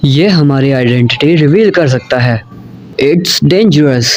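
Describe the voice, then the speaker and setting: Man acting wary, higher-voiced vampire's aide. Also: expressive, slow pace, slight background noise. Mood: stern warning. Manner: earnest, admonishing, formally respectful. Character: wary male aide to a vampire king, dutiful, reproachful. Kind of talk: audio drama roleplay dialogue.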